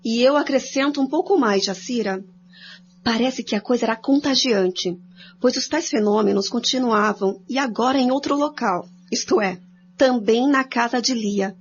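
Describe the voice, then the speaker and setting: A woman's voice: a little high, normal speed. A woman with a Brazilian accent giving scripted narration and commentary in a radio drama. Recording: dull lo-fi audio with hum and noise.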